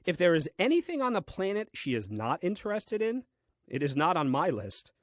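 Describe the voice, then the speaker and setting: An actor playing a literal-minded, puzzled and grumbling Adam in a comic diary-style audio play, with a deliberate, dryly pedantic delivery. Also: male voice, high voice, big pitch swings, dry recording. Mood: wry admiration. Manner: deliberate, theatrical, dry and pedantic.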